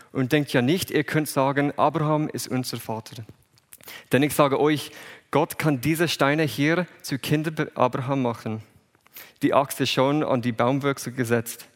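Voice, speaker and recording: A man with an English-speaking accent reads the Bible aloud and preaches in careful, halting German. He breaks off and restarts words often. His voice carries a long reverb from a large hall, and its pitch sits in an average range. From England, raised in USA. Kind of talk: bible reading and sermon.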